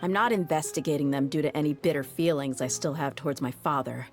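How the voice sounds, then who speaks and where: A low-voiced tough mercenary. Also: female voice, fast talker, soft background music. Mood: steady. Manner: easygoing, blunt, matter-of-fact. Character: tough, blunt young female desert mercenary. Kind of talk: game voice acting, story dialogue.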